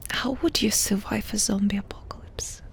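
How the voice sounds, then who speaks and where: A woman doing ASMR in a breathy whisper, softly and intimately asking questions with pauses between them. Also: mid-range voice, steady tone. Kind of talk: whispered ASMR personal-attention roleplay.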